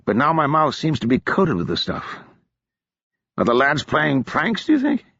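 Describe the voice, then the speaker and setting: A man doing a high, whiny hungover officer. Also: wildly swooping pitch, moderate pace, creaky, fairly bright tone, no echo, muffled recording, full character voice. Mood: indignant. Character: drunken foppish male officer, hungover, carefree.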